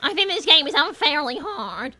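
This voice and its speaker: A woman voicing a chatty, bossy young female cartoon hedgehog in an animated, indignant, improvised style. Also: moderate pace, no echo, heavily acted. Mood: exasperated. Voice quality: very high, girlish, bright. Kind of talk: improvised in-character livestream banter, reading viewer messages.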